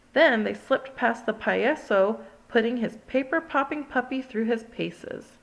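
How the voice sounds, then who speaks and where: A woman reading a children's picture book aloud in an expressive, sing-song read-along style. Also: a little high, gravelly, lo-fi sound. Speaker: storybook reader.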